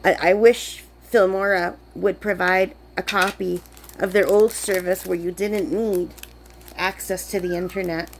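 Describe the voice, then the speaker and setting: Woman vlogger, under the weather, rambling chat, gravelly fry. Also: medium pitch, fairly even intonation, a little slow, a little hiss or hum, low-quality recording. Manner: chatty, rambling, many fillers. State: sick, voice lower than usual. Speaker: crafting vlogger. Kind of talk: casual chat while crafting. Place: camp cabin indoors.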